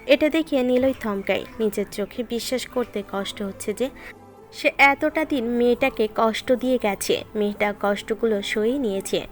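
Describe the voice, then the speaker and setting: A woman's voice: fairly high pitch, normal speed. A woman reading scripted narration of a romantic story, with music behind her voice.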